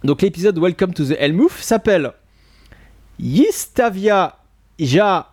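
A man's voice: high-pitched, expressive, slow, darkish tone, slightly rough. A man from France hosting a casual podcast chat, hesitant with many fillers and restarts. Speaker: podcast host.

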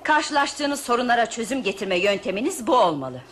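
A woman's voice: a little high, very animated, a little fast, fairly bright tone, a little hiss or hum. A woman playing a defiant, protective passenger in a radio drama, firm and reproachful. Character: defiant, protective female passenger, indignant and principled. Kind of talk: Turkish radio drama acting.

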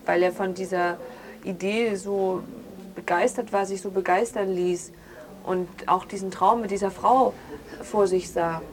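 A woman's voice: medium pitch, fairly even intonation, unhurried, close to an everyday voice. A woman playing an aggrieved, defensive grown daughter in a naturalistic radio drama, arguing insistently with faltering restarts. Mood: insistent, bitter. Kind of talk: improvised naturalistic interview drama in conversational speech.

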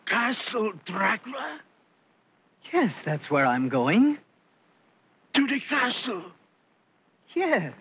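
A man's voice: sits high, very animated, drawn-out words, pauses often, pushed and bright, slightly rough, full character voice. A man acting a fearful, warning figure in an old horror film, on dull archive audio. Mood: alarmed, warning.

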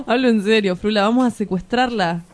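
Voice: medium pitch, normal speed, natural voice. An actor with an Argentine accent playing a cocky, romantic kidnapper in an audio drama, teasing and breezy. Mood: playful excited. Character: cocky young male kidnapper, sly romantic schemer. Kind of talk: dramatic dialogue acting.

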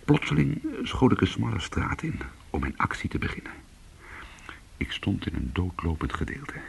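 An actor playing a weary, hard-boiled former cat burglar, telling his story in an intimate, brooding and deliberate way in a radio play. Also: on the low side, sing-song, gravelly, slightly rough, in a whisper, exaggerated voice. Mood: hushed, tense.

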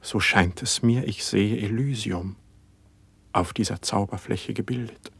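Rapt, humble young poet for verse radio drama; low-pitched, lofty, declaiming with great deliberation. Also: male voice, measured pace, creaky, a bit bright, slightly rough, no room sound, full character voice. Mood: humble, dreamy. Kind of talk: classical verse radio-play acting.

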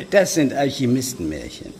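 An actor playing a courteous, sly alchemist lord in a German radio drama, explaining his marvels formally with a husky voice. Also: mid-range voice, darkish tone, a little hiss or hum, heavily acted. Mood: smooth, insinuating. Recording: long reverberant stone-hall echo.

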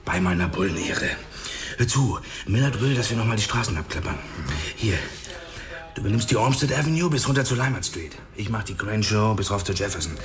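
A man's voice: on the low side, lively intonation, brisk, over music. An actor playing a cocky male police detective who talks in a wry, teasing way, in a radio drama.

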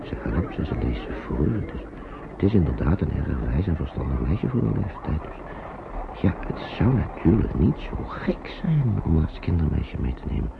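An actor playing a worried, kindly uncle who hesitates and repeats himself in an old radio play. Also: male voice, rumbling low, sing-song, normal speed, gravelly, exaggerated voice. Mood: worried, brooding. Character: worried kindly man, an uncle minding children. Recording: dull noisy old radio recording.

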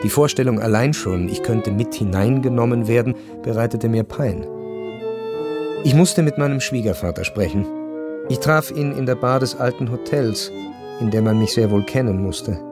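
A man narrating a first-person literary radio drama in a measured, reflective way. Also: medium pitch, music in the background.

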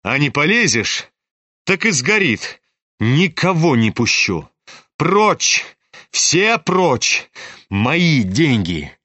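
A man's voice: medium pitch, big pitch swings, slow pace, bright, forceful tone, dry recording, exaggerated voice. A man reading an audiobook, voicing a proud, reckless young woman who taunts and commands. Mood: taunting, commanding. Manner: imperious, taunting.

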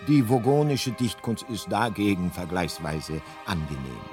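A talking encyclopedic guidebook in a radio play. He narrates in a deadpan, measured manner, with music clearly playing behind him. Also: deep voice. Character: matter-of-fact talking encyclopedic guidebook, male. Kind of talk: scripted radio drama narration.

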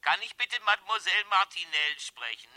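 An animated, sharp-voiced scheming schemer. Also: male voice, sits high, brisk, slight background noise, heavily acted. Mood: smooth, menacing. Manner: theatrical, muttering asides to himself. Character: scheming male embezzler, nervous, calculating, smoothly menacing blackmailer. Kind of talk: 1970s German radio drama acting.

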